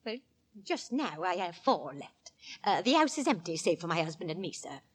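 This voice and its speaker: Worried landlady, old 1940s radio drama, raspy voice that swoops up and down, fretful and fussy. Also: fairly low pitch, very animated, normal speed. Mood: polite, deferential. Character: anxious, scrimping working-class landlady wife, deferential to gentlemen. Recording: vintage 1940s radio broadcast recording.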